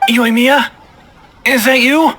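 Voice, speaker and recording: A man's voice: very high-pitched, fairly even intonation, slow pace, heavy background noise. A man voicing a nervous fugitive customer who speaks in an apologetic, timid way, over game music.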